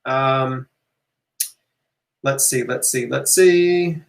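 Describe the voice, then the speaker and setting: A man's voice: mid-range voice, extremely slow, creaky. A man hosting a casual live question-and-answer talk, speaking informally and emphatically, encouraging listeners and repeating key phrases. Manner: informal, conversational, checks in with "right?" and "okay?". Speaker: host of a live health Q&A. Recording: roomy, echoing room sound.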